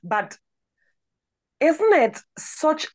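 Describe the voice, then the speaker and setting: A woman's voice: high voice, sing-song, extremely slow, no echo. Woman podcast co-host, big projecting voice, emphatic and repetitive, dry close mic. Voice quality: big strong voice. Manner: emphatic, repeats words for emphasis. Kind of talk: conversational podcast commentary.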